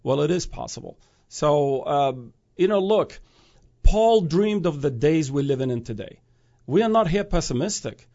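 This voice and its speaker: A man's voice: fairly high pitch, lively intonation, normal speed, creaky, dark tone, lo-fi sound. A man who grew up in Iraq, a guest speaking about prophecy in an earnest, preaching way, often restarting phrases and rising to emphatic peaks.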